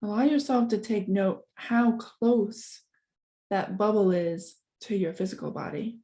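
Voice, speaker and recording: A woman guides a meditation in a soft, mellow voice that sits at an ordinary middle pitch. Her delivery is calm and gentle. She gives each instruction without hurry and leaves long pauses to follow it.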